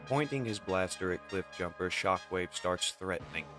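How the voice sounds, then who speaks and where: A man's voice: low voice, animated, moderate pace, sharp tone. A man narrating a comic book as a dramatic scripted reading. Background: music.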